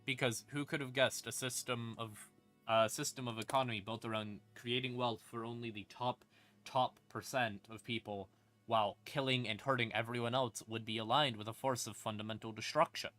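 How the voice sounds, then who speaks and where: Male lore streamer, mid-pitched and sharp-edged, casual and sardonic, faint ambient music underneath. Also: fairly even intonation, normal speed. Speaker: streamer explaining game lore. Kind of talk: casual, rambling lore explanation. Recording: music playing behind the voice. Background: creepy ambient music.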